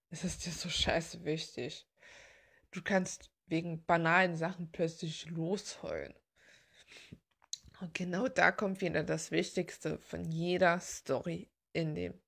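A woman's voice: fairly low pitch, creaky, husky. A woman chatting casually and bluntly in slangy, rambling fashion, like an informal art vlogger. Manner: informal, blunt, slangy, gushing about details. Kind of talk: casual rambling chat while drawing.

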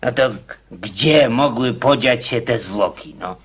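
An actor playing an anxious, eager, simple-minded prisoner in a radio drama, excitable, pleading and insistent. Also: male voice, medium pitch, a little slow, lightly acted. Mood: fretful.

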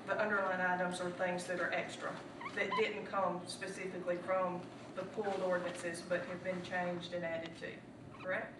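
A woman's voice: fairly low pitch, little pitch movement, moderate pace, few pauses. A woman on a city council asking probing, persistent questions at a public meeting, heard from a desk microphone through a distant camera. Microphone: desk microphone, far camera. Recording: echoey room, distant mic, background hum.

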